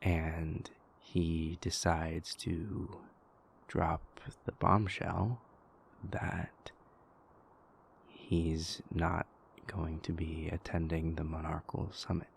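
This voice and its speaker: Man acting a husky, low-voiced troubled vampire prince. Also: little pitch movement, exaggerated voice. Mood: hesitant, worried. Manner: halting, hesitant, exasperated, conversational. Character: stressed young vampire prince confiding in his lover. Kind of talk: intimate audio roleplay monologue.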